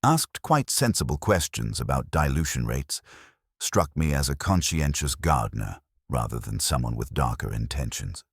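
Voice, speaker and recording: A man voicing a careful village chemist who talks in a measured, formal, faintly gossipy way. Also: rumbling low, very animated, breathy edge, dry recording, clean studio sound, exaggerated voice. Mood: discreet, considered. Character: careful, discreet male village chemist with old-fashioned courtesy.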